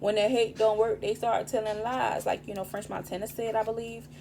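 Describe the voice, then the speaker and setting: A woman reads tarot cards in a loose, rambling way, swearing often and leaning on street slang. Her voice is raspy this morning and she talks quickly. A steady hum and background noise sit under the recording. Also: on the high side. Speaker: tarot reader. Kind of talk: casual tarot reading.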